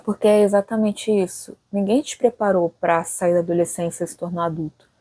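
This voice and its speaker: A woman's voice: lowish voice, fairly even intonation, fairly quick, warm tone, dry recording. A woman in her late twenties hosting her own channel, chatting casually and thinking aloud, often tagging her sentences with little questions. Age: late 20s. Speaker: channel host. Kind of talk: casual reflective vlog talk.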